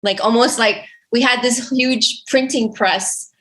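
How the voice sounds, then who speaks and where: A Romanian woman, a native speaker of Romanian, talks slowly and thoughtfully as a podcast guest. Her voice is bright and forward, and she pauses often with fillers and restarts. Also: a little high, steady tone. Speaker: guest artist. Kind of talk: podcast interview.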